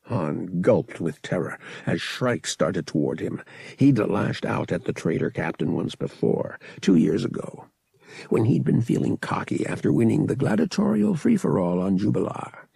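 Male audiobook narrator: low, raspy, expressive and suspenseful, with big swings in intonation. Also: a little slow, no room sound. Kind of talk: dramatic scripted storytelling.

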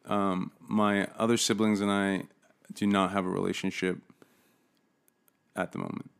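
A man who grew up overseas, a podcast guest telling his own story in a casual, somewhat halting way. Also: deep voice, little pitch movement, gravelly, clear, resonant tone. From grew up overseas, in Russia, China, Taiwan and Uzbekistan.

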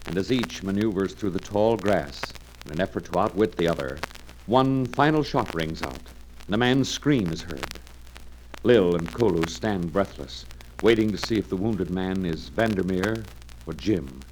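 A male announcer narrates in a fairly low voice with suspenseful, formal drama. It has the style of a vintage radio serial, with faint noise on the recording. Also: lively intonation, moderate pace, a little mellow. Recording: slightly noisy old radio recording.

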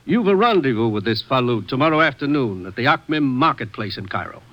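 A man's voice: mid-range voice, big pitch swings, fairly quick, a bit bright. A man playing a sober intelligence commissioner in an old-time radio drama, formal and businesslike. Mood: brisk, authoritative. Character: sober, authoritative male government intelligence commissioner. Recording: vintage radio broadcast recording.

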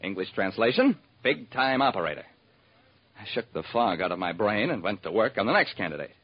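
Animated, husky radio private eye. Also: male voice, on the low side, a little fast. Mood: wry. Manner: wry, clipped storytelling. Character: wry hard-boiled male private detective telling his case. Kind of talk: old-time radio detective narration. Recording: muffled vintage radio recording.

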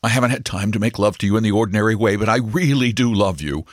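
A man voicing a frantic, busy young male stockbroker who proposes in a hurried rush. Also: on the low side, sing-song, fairly bright tone, slightly rough, dry recording, studio quality, exaggerated voice. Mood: hurried eager.

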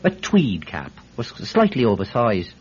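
A man's voice: on the low side, wildly swooping pitch, measured pace, darkish tone, slight background noise. A man playing a talkative, evasive Irish villager in a radio drama, hesitant and wheedling as he rambles. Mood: earnest storytelling. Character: talkative, evasive Irish villager testifying about his friend. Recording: dull, lo-fi radio recording.

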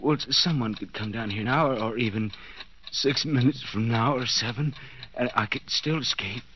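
A man's voice: medium pitch, moderate pace, pushed and bright, close to an everyday voice. An actor playing a bound, desperate man coming apart in a cellar, stammering and pleading in overwrought 1940s radio suspense style. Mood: anxious, muttering. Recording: dull, noisy 1940s radio recording.

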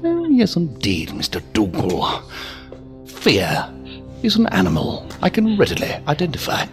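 A man's voice: fairly high pitch, wildly swooping pitch, a little slow, gravelly, faint music behind, heavily acted. A man playing a sinister, courtly, inhuman villain in an audio drama, verbose and mockingly polite in a mannered, theatrical way. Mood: mocking, menacing. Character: sinister, courtly, unhurried villain, inhuman interrogator posing as a gentleman. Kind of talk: science fiction audio drama acting.

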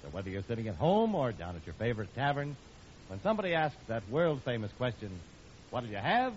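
A man's voice: medium pitch, big pitch swings, a little fast. An American man announcing and advertising on 1940s radio with a polished, emphatic salesman's delivery. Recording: dull, noisy old radio recording.

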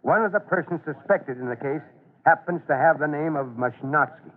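An American actor playing a gruff but fatherly, authoritative fire chief in an old-time radio drama, with brisk, clipped questions. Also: fairly high pitch, close to an everyday voice. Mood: firm, serious. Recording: tinny vintage radio recording.

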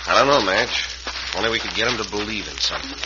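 An actor playing a steady, reasonable American frontier doctor in a radio western, plain-spoken, firm and reassuring. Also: male voice, on the low side, fast, natural voice. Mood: concerned. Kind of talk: 1950s radio western acting. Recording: muffled old radio recording, faint noise.

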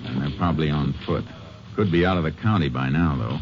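An actor playing a calm, shrewd, measured Texas Ranger in a 1950s radio western. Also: male voice, very deep voice, slight background noise. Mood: businesslike. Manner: measured, businesslike. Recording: muffled old radio recording.

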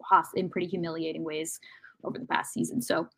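A woman's voice: low voice, little pitch movement, brisk, creaky, slightly rough. A woman talking casually and analytically as a sports podcast panelist, often hesitating and rephrasing as she goes. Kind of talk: casual football discussion.

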